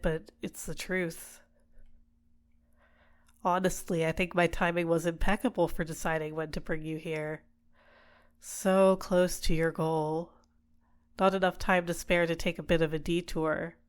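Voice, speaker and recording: Low, soft-spoken possessive villainess, acted by a woman. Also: level tone, a little slow, clear, resonant tone, close to an everyday voice. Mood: coolly manipulative. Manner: soft-spoken, teasing, drops into whispers. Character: possessive female villain, coaxing and manipulative, tenderly doting on captive. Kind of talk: ASMR roleplay, intimate personal attention.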